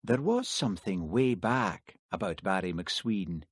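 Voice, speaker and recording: A man reading an audiobook, voicing a shrewd village police constable who speaks in a calm, measured way. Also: low voice, no echo.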